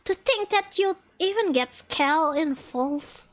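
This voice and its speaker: A woman's voice: very high voice, animated, takes it slowly, full character voice. A woman voicing a stern, exasperated schoolgirl in an anime game fandub, scolding and haughty.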